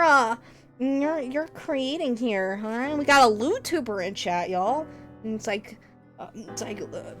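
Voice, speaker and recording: A woman livestreamer chatting casually with her viewers, teasing them playfully and laughing often. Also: on the high side. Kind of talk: casual stream chat with viewers.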